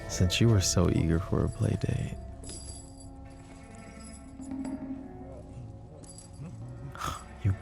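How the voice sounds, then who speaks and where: A man voicing a low, gravelly mafia boss. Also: heavily acted. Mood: taunting. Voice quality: soft, smooth and taunting. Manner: mocking, slow and threatening, with sweet pet names. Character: possessive menacing male mafia boss. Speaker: voice actor. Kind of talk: roleplay ASMR audio drama.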